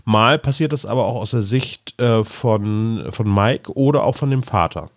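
A man's voice: on the low side, normal speed, no room sound, studio quality. A man co-hosting a podcast, talking casually as a fan in an informal conversation. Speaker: podcast co-host. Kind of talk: casual fan chat about audio dramas.

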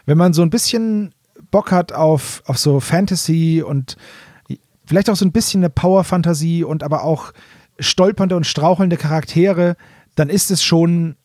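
A man's voice: fairly high pitch, moderate pace, a little mellow, dry recording, studio quality. A man co-hosting a podcast in a casual, conversational style. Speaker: podcast co-host.

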